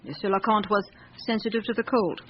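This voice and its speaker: A woman plays an elderly housekeeper of seventy in a radio drama. She is guarded and reticent, answering curtly and plainly at a middling pitch. The recording is muffled, with noticeable hiss. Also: fairly quick, close to an everyday voice. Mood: guarded, matter-of-fact. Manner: terse, reticent, matter-of-fact answers. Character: guarded, curt seventy-year-old female housekeeper.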